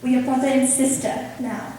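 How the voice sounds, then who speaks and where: A woman playing a composed, witty young gentlewoman in an amateur period stage play, speaking in a measured, formal, pointed way. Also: high-pitched, fairly even intonation, lightly acted. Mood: cool, pointed. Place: theatre stage, miked from afar. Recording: echoey hall, distant mic, faint noise.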